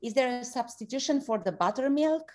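A woman hosting an online event, speaking haltingly with many pauses. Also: fairly high pitch, animated. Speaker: event host.

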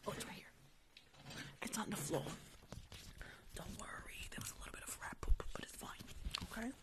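A young woman whispers close to the microphone in an ASMR roleplay as a rude dental worker, sassy and chiding, often repeating short phrases. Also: moderate pace. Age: young adult. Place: small room at home, indoors. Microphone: large tabletop microphone, very close.

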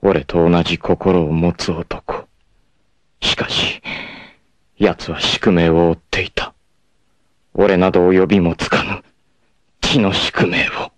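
An actor playing a proud, self-sacrificing long-haired martial artist in a 1980s anime, speaking solemnly and dramatically with fierce shouts in battle. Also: male voice, deep voice, no echo.

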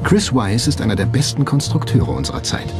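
A man reading scripted documentary narration in a measured, factual manner. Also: mid-range voice, big pitch swings. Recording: music bed under the voice. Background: background music.

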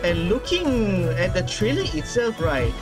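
Excitable man reacting, very lively pitch. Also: unhurried. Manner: excitable, exclamatory. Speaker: gaming reaction video host. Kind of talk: casual live reaction commentary. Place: small indoor room. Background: game trailer music.

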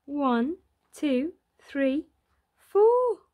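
Slow-paced woman reading a children's story. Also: high voice, very animated, phone-quality sound. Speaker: storyteller reading a children's picture book aloud. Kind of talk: expressive read-aloud of a rhyming bedtime story.

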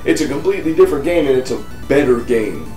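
A man's voice: medium pitch, expressive, quick pace, warm tone. An American man in his thirties talking casually and bluntly to camera as a game review host, repeating himself for emphasis. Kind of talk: casual opinionated vlog talk to camera. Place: living room on a couch, indoors. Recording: roomy sound, distant mic, music behind.

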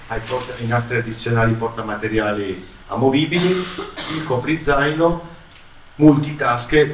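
A man's voice: medium pitch, fairly bright tone. A man in his fifties from Italy giving a product training presentation in a conversational, explanatory manner, checking in with his listeners, speaking into a handheld microphone in a large conference room. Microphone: handheld microphone, recorded by a distant camera. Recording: roomy, distant, noisy conference-room capture.

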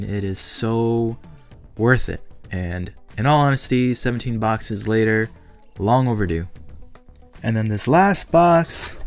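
A man casually commenting on an unboxing of collectible toy cars, speaking with eager excitement about value. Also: fairly low pitch. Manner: enthusiastic, speculative collector talk. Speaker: toy car collector vlogger.

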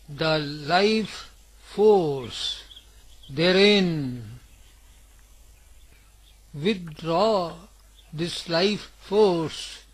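Male meditation guide, higher-pitched voice, extremely slow delivery in brief phrases, faint background noise with birdsong. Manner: deliberate short phrases with long pauses. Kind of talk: slow guided yoga nidra meditation narration.

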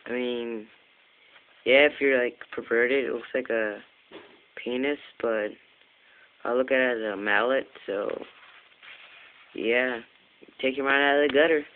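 Tinny, phone-like audio with clear noise behind it carries a man talking through a homemade tutorial. His delivery is flat in pitch and offhand, rambling along casually as he explains. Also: unhurried, pauses often. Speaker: video maker giving a how-to. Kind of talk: casual improvised tutorial chat. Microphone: handheld Android phone.

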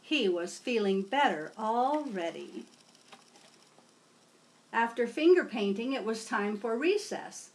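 Female storybook reader, middle-aged, unhurried and expressive, for young children, recorded in a home room. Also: on the high side. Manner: measured, storytelling. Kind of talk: expressive read-aloud for children. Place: small home room with bookshelves.

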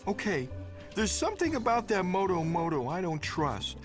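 An actor playing an anxious, lovesick giraffe in a video game, with a nervous, fretful manner. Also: male voice, high-pitched, sing-song, normal speed, over music. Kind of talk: video game character acting.

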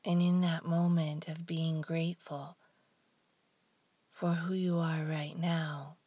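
A woman leading a guided meditation in a soft, hushed, breathy voice, leaving long pauses between short phrases. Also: low-pitched, level tone, dry recording.

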